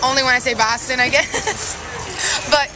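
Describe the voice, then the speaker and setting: A woman's voice: high voice, fairly even intonation, normal speed, poor audio. A young woman from Colombia who grew up in Miami, talking casually as a musician guest with many fillers and laughs. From Colombia, raised in Miami. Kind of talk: casual interview. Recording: loud music and crowd noise. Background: loud music and crowd.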